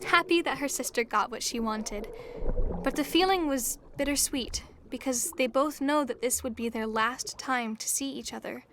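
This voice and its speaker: A woman's voice: high voice, moderate pace, a bit bright, a little hiss or hum. A woman narrator reading a scripted fairy tale for an audio drama. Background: music.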